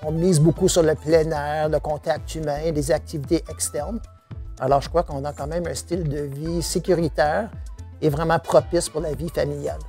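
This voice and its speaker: A man's voice: a little high, flat delivery, moderate pace, dark tone, faint music behind. A man from northern Ontario who is a communications director, speaking fluently in an interview. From Sudbury, Ontario. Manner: fluent, promotional. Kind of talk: interview testimony.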